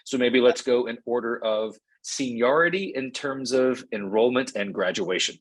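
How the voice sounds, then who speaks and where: A man hosting an online information session, talking in a relaxed, conversational way with plenty of fillers over a video call. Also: mid-range voice, moderate pace. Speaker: associate dean hosting an info session. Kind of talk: webinar presentation and hosting. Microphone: computer mic on a video call.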